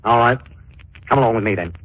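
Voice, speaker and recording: An actor playing a sly waterfront crook and informant, with colloquial talk, in 1940s radio thriller style. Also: male voice, mid-range voice, fast talker, natural voice. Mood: suspicious, sly. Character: shady waterfront underworld informant called Freddy the Frog. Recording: dull, noisy 1940s radio recording.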